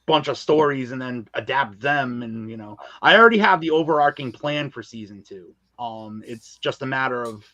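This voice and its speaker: A man's voice: medium pitch, moderate pace, few pauses, bright, forceful tone, dry recording, lo-fi sound. A man talking casually and candidly as a podcast interview guest, with emphatic, colloquial phrasing. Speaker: guest, audio drama writer and filmmaker.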